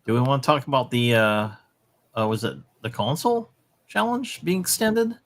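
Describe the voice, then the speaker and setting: A man's voice: medium pitch, big pitch swings, moderate pace. A man in his forties chatting casually on a gaming podcast, easygoing and plainspoken. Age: 40s. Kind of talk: casual chat.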